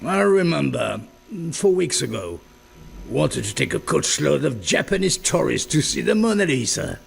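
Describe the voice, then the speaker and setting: An actor playing a wary, tough, sardonic male crime boss in a video game cutscene, with a gravelly voice. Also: on the high side, very animated, moderate pace, full character voice. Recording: loud hum and noise behind voice.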